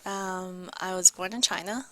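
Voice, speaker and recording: A woman in her thirties from Canada, a guest in a casual interview, speaking in a relaxed way with many fillers. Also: lowish voice, a little slow, dry recording.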